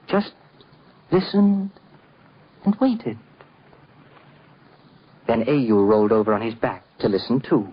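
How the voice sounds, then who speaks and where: Theatrical male radio narrator, animated high pitch. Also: husky. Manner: theatrical, solemn storytelling. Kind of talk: dramatic scripted narration, old-time radio. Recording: muffled 1950s radio recording. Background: prominent music.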